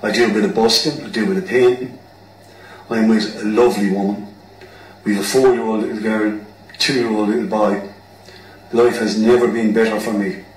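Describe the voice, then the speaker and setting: A man's voice: lowish voice, fairly even intonation, moderate pace, long pauses, lightly acted. Man acting earnest Irish bandmate, distant sound. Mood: friendly. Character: plain-speaking Irish bandmate Mick, wronged but good-natured. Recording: roomy, distant mic, background noise.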